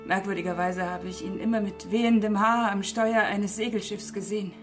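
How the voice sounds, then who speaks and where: An actress plays a distraught mother in a radio play, pouring out confidences with bitterness. Her medium-pitched voice swings between agitated outburst and murmur. Music sits clearly beneath her. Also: steady tone, brisk, close to an everyday voice. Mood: grieving. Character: distraught, embittered married mother confiding dark secrets. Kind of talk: radio drama acting. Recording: prominent music under the voice.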